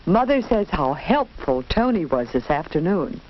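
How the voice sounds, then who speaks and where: A woman's voice: sits low, sing-song, moderate pace, muffled recording. A woman narrating a 1940s educational film as a simple story for children, speaking directly to the listener in an instructive way. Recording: band-limited 1940s film soundtrack, background noise.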